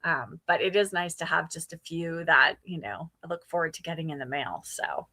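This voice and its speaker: A woman's voice: sits low, lively intonation, normal speed, pushed and bright. A woman in her fifties hosting a casual, conversational livestream chat. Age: 50s. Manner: conversational, reads out and responds to viewer comments. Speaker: livestream host.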